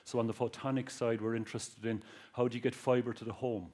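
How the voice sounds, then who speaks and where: A man with a Cork Irish accent speaks at a middle pitch to a student audience. He jokes with the crowd and calls on them directly. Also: level tone, fast, a little mellow. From Ireland. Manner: joking, addresses the audience directly. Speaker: guest speaker, research engineer. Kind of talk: public talk to students.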